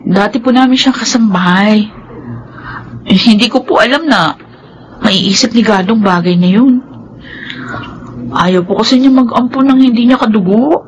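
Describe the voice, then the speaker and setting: A woman's voice: a little high, normal speed, pauses often, lo-fi sound, a little hiss or hum, close to an everyday voice. A woman playing an anxious, deferential wife in a radio drama, hesitant and pleading, with a Filipino accent. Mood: apologetic, anxious. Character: anxious, deferential infertile wife and daughter-in-law. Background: music.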